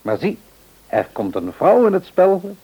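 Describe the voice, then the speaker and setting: A man playing a kindly, practical older father in a radio play, husky and reassuring. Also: sits high, huge pitch swings, unhurried, warm tone, heavily acted, poor audio. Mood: amused, musing. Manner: avuncular, musing, reassuring.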